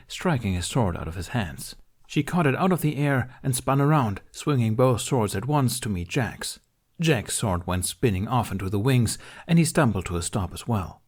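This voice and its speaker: Man narrating a story, very animated pitch. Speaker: fan fiction reader.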